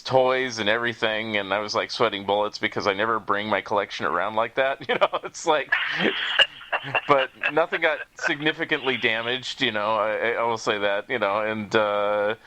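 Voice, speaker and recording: A man with a bright, forward voice talks casually as a podcast host. He is chatty and wanders through his sentences, with frequent little chuckles, at a medium pitch. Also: rough recording. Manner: chatty, rambling, chuckles often.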